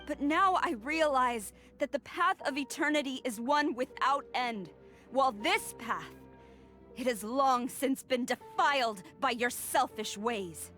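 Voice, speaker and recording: A woman's voice: on the high side, unhurried, bright, forceful tone, soft background music. A woman voicing a stern, principled young female warrior general, formal and earnest, in a dramatic video game. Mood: resolute.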